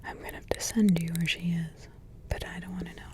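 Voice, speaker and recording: A woman voicing a protective witch grandmother in an ASMR roleplay, in a soft whisper with slow, rambling, repetitive asides. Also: fairly low pitch, level tone, close to an everyday voice. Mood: hushed, caring. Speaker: ASMR creator.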